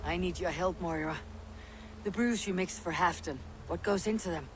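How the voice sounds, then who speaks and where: An actress playing a stern female Viking warrior in a video game, blunt, steady and menacing. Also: fairly low pitch, moderate pace, background noise, close to an everyday voice. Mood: calm. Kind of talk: video game cutscene acting. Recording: game audio over loud ambience.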